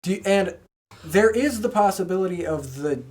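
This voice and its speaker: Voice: mid-range voice, animated, moderate pace, crowd noise. A podcast host chatting informally and talkatively, full of opinions about pro wrestling.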